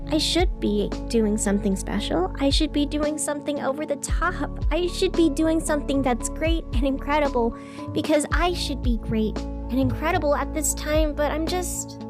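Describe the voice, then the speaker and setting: A woman playing a wistful, self-doubting night radio host in a scripted audio drama, speaking in an earnest, confiding way. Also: high voice.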